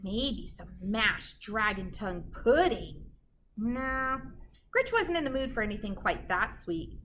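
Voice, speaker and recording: A woman's voice: medium pitch, very animated, slow, a bit bright, slight background noise. A woman reading a children's storybook aloud like a teacher, with dramatic emphasis and chatty asides to her listeners.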